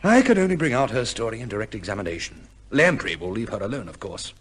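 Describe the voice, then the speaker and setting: Husky, animated prosecuting lawyer. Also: male voice, lowish voice, fast talker. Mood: grudgingly impressed. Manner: brusque, incisive, formal. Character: hard-nosed, skeptical male prosecuting lawyer. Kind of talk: old-time radio drama acting.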